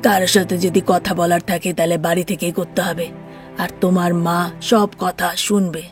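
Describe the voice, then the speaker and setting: Woman playing a low-voiced, mild middle-aged father. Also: little pitch movement, moderate pace, faint music behind, close to an everyday voice. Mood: resigned, cautious.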